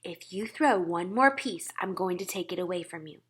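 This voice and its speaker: A woman's voice: on the low side, lively intonation, moderate pace, a bit bright, breathy edge. A woman voicing a practical, decisive father in a storybook, plain and matter-of-fact. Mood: firm. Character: practical, decisive father of two young boys.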